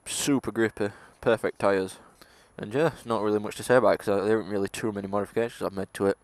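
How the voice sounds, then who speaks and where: A man's voice: fairly low pitch, steady tone, quick pace, no room sound. Laid-back young man, broad Yorkshire accent. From England. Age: late teens. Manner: chatty, laid-back, laughs now and then. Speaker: motovlogger. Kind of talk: casual vlog chat while riding. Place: outdoors on a scooter by roadside. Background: faint engine and traffic noise.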